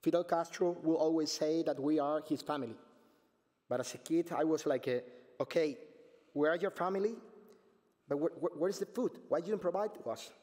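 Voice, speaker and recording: A Cuban man in his forties with a Spanish accent, reading a prepared speech from a podium in an earnest, measured way and restarting words now and then. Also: a little high, a little mellow. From Cuba. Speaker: panel speaker, artist. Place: conference stage at a podium. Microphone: podium microphone. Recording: long hall reverb.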